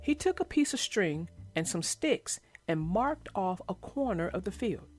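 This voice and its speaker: A woman's voice: mid-range voice, sing-song, measured pace, darkish tone, soft background music. A woman narrating a children's read-aloud story, addressing young listeners directly as host.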